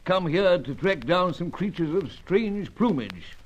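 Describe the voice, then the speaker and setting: An actor playing a genial, flustered spymaster with an upper-class British accent, blustering and repeating himself, in old-time radio adventure style. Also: male voice, high voice, expressive, unhurried, a little hiss or hum. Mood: startled, indignant. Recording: dull, muffled old radio recording.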